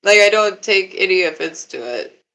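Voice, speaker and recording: A young woman chatting on a live stream, laid-back and giggly, with a deeper voice who swears freely. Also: expressive, normal speed, fairly bright tone, poor audio. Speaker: livestreamer. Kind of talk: casual live-stream chat.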